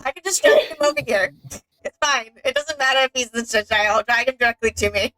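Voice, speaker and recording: Bright, high-voiced woman gamer chatting. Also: huge pitch swings, quick pace, low-quality recording. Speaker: gamer playing with a friend. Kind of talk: casual game chat.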